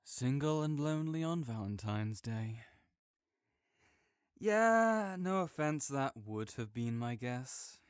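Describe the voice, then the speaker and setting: A young man playing a caring, easygoing friend who speaks gently and reassuringly to the listener in an audio roleplay, with some soft joking. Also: medium pitch, expressive, slow, clear, resonant tone, dry recording, studio quality.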